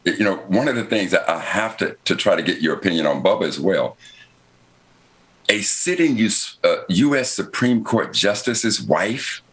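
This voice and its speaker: A strikingly deep-voiced American man leads a political discussion. He speaks deliberately and with an orator's emphasis, circling back over phrases to build each point. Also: expressive. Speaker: panel moderator.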